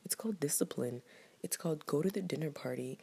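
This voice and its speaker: Low, breathy, whispering woman ranting bluntly. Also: fast talker, warm tone. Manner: blunt, profane, fired-up. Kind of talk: casual rant to camera.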